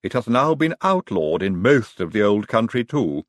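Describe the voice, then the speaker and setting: A man voicing a smug, servile gothic laboratory henchman in an audiobook, with a lisp and a deliberate, deferential manner. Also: on the low side, sing-song, no room sound, clean studio sound. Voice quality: lisping.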